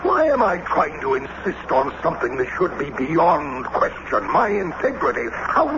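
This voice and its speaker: A man's voice: fairly high pitch, very animated, moderate pace. An actor playing a proud, indignant, aristocratic American statesman with formal, dramatic radio delivery. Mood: wounded, indignant. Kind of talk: 1970s radio drama acting. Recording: muffled, noisy old radio broadcast.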